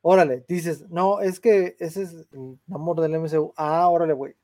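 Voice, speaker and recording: A man's voice: on the high side, fairly even intonation, moderate pace, mellow, dry recording, clean studio sound. A young Mexican man with a Mexican Spanish accent, ranting in casual, slang-heavy podcast chat and swearing freely. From Mexico, San Luis Potosí. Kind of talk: casual chat, film rant.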